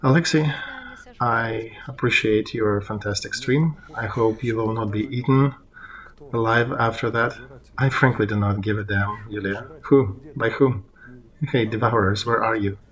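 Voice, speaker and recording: A man interpreting a political discussion live, in halting phrases as he translates on the fly. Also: lowish voice, rough recording.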